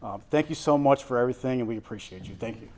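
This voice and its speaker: A man's voice: medium pitch, fast talker, very noisy. Male speaker promoting business events, upbeat and promotional, ordinary mid-range voice. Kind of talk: prepared remarks at a business breakfast. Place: event room at a college.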